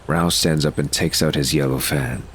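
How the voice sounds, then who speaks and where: A man with an extremely deep voice narrates an audio drama from a script. Noise can be heard behind him. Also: fairly even intonation, normal speed, a bit bright.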